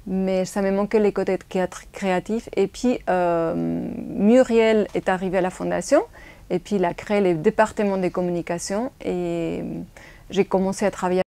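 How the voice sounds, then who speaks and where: Woman with a Spanish accent, mellow voice. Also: mid-range voice, fairly even intonation, moderate pace, vocal fry, smooth, dry recording. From Spain. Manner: plain, slightly non-native phrasing. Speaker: graphic designer and photographer. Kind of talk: interview answers.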